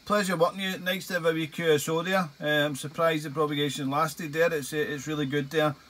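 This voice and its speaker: A Scottish man with a slightly high voice talks on amateur radio from his shack. He is chatty and repeats himself, moving between casual talk and call signs. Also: fairly even intonation, brisk, fairly bright tone. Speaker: amateur radio operator, hobby video maker. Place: small outdoor radio shack.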